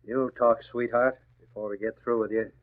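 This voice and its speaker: An actor playing a tough, short-tempered gangster boss, barking slangy threats in a 1940s radio drama. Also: male voice, medium pitch, steady tone, normal speed, close to an everyday voice. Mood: menacing. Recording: tinny, narrow-band old radio recording.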